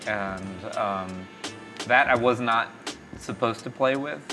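A man's voice: fairly low pitch, slow, sharp tone, soft background music. A middle-aged man from East Texas, born in Mexico, talking in a casual interview in a small café, rambling with many fillers and restarts. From born in Mexico, raised in East Texas. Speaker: startup founder and CEO, interview guest. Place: small café by a street window.

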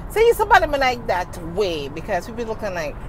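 A woman's voice: a little high, wildly swooping pitch, fast, a little mellow, rough recording. A middle-aged woman, talking casually to her phone camera from inside a car, emphatic and repetitive, speaking into a wired earphone mic. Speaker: vlogger. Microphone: wired earphone mic, phone held close. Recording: car and street noise.

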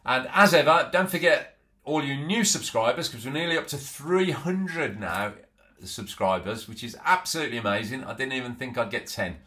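A man's voice: mid-range voice, animated, normal speed, pushed and bright. A middle-aged man, chatting casually through an antiques haul with frequent ums and restarts, filmed at a home office desk. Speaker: antiques reseller. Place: small home office, indoors.

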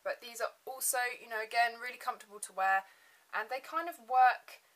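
A young woman, a little gravelly today, chatting casually to camera in her bedroom as a beauty vlogger. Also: a little high, brisk, a bit bright, low-quality recording. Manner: chatty, filler-heavy, upbeat. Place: bedroom, indoors.

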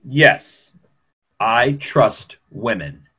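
A man as a grave, husky doctor, expressive pitch. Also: drawn-out words, heavily acted. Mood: firm, emphatic. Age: middle-aged. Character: grave, courteous male doctor, firm in his opinions. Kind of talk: remote script reading of a stage play. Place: small room at home, video call.

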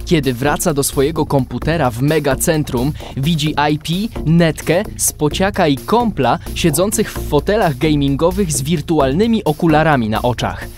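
A man's voice: a little high, lively intonation, moderate pace, few pauses, darkish tone, soft background music. A man narrating a scripted radio drama as storyteller.

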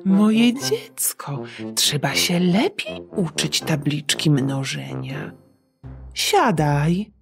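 A woman voicing calm, strict adults scolding a child in a storybook reading. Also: low-pitched, extremely slow, vocal fry, over music, exaggerated voice. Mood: admonishing. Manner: calm, admonishing. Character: calm strict adult grown-ups, teacher and parents.

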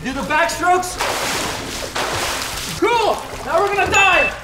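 A man's voice: extremely high pitch, lively intonation, slow, very noisy. A young man hosting a goofy challenge vlog, joking and giggling as he talks to viewers from a hot tub on an indoor set. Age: young adult. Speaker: YouTube host.

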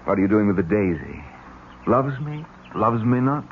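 An uneasy, irritable married man with a lowish, very animated voice. He is dismissive and defensive in 1970s radio drama style. The old broadcast is noisy. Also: normal speed, phone-quality sound. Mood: irritated.

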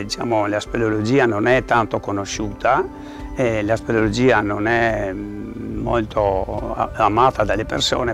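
A man explains things to camera in a halting way, repeating words as he searches for the next. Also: fairly low pitch, creaky. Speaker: president of a regional caving federation. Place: outdoors by a rock face.

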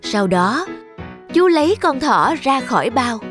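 High-voiced woman narrating a fairy tale. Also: very animated, measured pace, fairly bright tone. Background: music.

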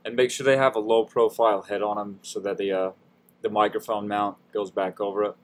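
Low-voiced man narrating a casual DIY tutorial. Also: level tone, fairly quick. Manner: informal, folksy, self-deprecating. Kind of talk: casual how-to voice-over narration.

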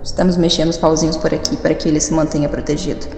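A woman playing a sly sentient computer program in an audio drama, speaking formally with measured condescension. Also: low-pitched, flat delivery, darkish tone. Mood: composed, urgent. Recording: echoey reverberant dream-space effect.

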